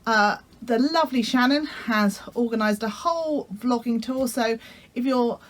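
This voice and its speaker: A woman with a croaky voice from a cold, chatting casually to camera as a sewing vlogger, friendly and full of ums. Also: on the high side, a little slow.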